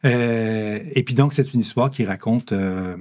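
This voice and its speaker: A man with a Québécois accent, a comic book author chatting in a relaxed, rambling way with lots of fillers. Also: on the low side, a little slow. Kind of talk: casual interview talk.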